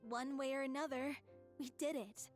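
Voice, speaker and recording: A gentle, frail young woman from a video game played by an actress. She sounds high-pitched and weary, resigned but stubborn. Faint music plays behind her. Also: fairly even intonation, normal speed, close to an everyday voice. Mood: resigned, content.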